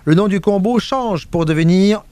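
A man's voice: sits high, moderate pace, warm tone, a little hiss or hum. A man presenting a music radio segment in French, chatting casually with many fillers, restarts and asides to his co-host.

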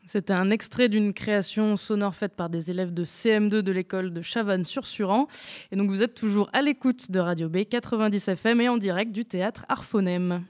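A woman's voice: medium pitch, a little fast, a little mellow. Woman co-host announcing on live radio.

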